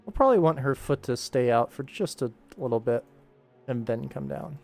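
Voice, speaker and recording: A man's voice: mid-range voice, expressive, moderate pace, vocal fry, a little mellow. A man streaming his animation work, thinking aloud casually and rambling to himself as he goes. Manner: rambling, muttering to himself while working. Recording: background music behind voice. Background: game soundtrack music.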